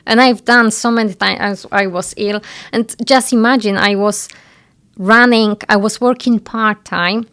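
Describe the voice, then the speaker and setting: A woman's voice: medium pitch, steady tone, unhurried, a bit bright, clear, resonant tone. A woman in her thirties with a Polish accent, a business founder speaking earnestly as a guest in a conversation. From Poland. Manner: earnest, reflective. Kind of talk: casual interview conversation.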